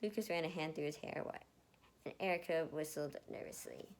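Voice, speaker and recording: A woman with a slightly low voice reads a story aloud as an amateur. She stumbles now and then, rereads the phrase, and slips into casual asides. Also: brisk, darkish tone. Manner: stumbles over words and rereads. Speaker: reader of fan fiction.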